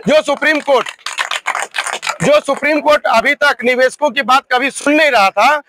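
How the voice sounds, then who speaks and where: A man with a hoarse voice, a protest organizer giving an earnest, steady rally speech to fellow investors. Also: very high-pitched, moderate pace, background noise. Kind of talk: rally speech thanking supporters.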